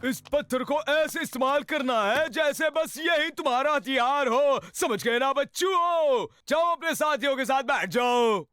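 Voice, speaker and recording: A man's voice: very high voice, unhurried, few pauses, no room sound, full character voice. A man voicing a bossy, hot-headed little cartoon man with an exaggerated, commanding manner in a Hindi dub. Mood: instructing. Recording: loud music behind the voice.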